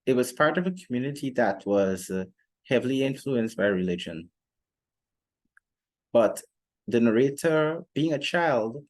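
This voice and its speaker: A young man giving an explanatory lecture in a formal, deliberate manner, pausing often between phrases. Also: medium pitch. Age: young adult. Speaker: literature teacher presenting a lesson. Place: indoor room, seated before a plain wall.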